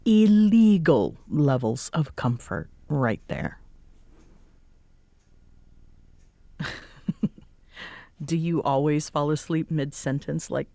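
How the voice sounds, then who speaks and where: Woman acting a slow, teasing, low-voiced tsundere roommate. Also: vocal fry, dark tone, no echo, full character voice. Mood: drowsy teasing. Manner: sarcastic, teasing, hesitant when sincere. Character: tired, teasing female bartender roommate, tsundere, secretly fond. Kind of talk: roleplay audio acting, intimate one-sided conversation.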